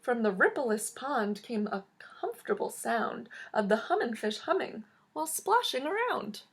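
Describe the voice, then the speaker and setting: Woman voicing wistful old storybook recluse, secretive, crackly, higher-pitched, dramatic drawn-out delivery. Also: sing-song, breathy edge, exaggerated voice. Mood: nostalgic wonder.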